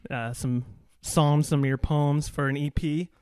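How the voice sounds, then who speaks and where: A man's voice: fairly high pitch, steady tone, a little slow, a little mellow, smooth, dry recording. A man hosting a podcast interview in a conversational, effusive way, recorded in a converted garage studio. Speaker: podcast host.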